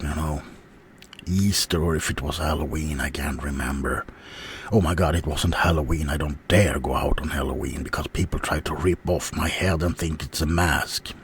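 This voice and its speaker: A man voicing a sulky, offended male werewolf in an ASMR roleplay, halting and aggrieved, often dropping into whispers. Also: low-pitched, a little hiss or hum. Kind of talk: ASMR character roleplay monologue.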